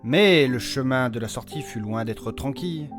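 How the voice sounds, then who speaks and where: A male narrator reads a dramatic story recap in a scripted style. His pitch is mid-range, and music plays clearly behind him. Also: animated, moderate pace. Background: clear background music.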